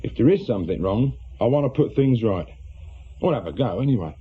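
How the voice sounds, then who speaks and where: An actor playing an awkward middle-aged reformed small-time crook with an English accent, a worried husband in a British radio drama, husky and hesitant. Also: male voice, mid-range voice, lively intonation, fairly quick. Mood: earnest, troubled. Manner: hesitant, colloquial, defensive. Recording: muffled, lo-fi radio drama recording.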